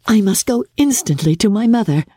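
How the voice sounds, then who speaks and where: A woman voicing a sweet, earnest young gentlewoman in a classic novel reading, formal and breathlessly dutiful. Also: medium pitch, expressive, fairly quick, slightly rough, no echo, clean studio sound, pitched above the performer's own voice. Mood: overjoyed.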